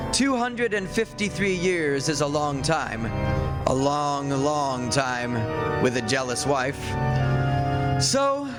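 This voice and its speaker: Radio drama, male actor as henpecked old husband and professor: highish voice, folksy, confiding, flustered, music underneath. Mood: resolved, nervous. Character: hapless, henpecked centuries-old husband working as university professor. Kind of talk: old-time radio play acting, narrating confidingly to listeners. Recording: stage radio play with music.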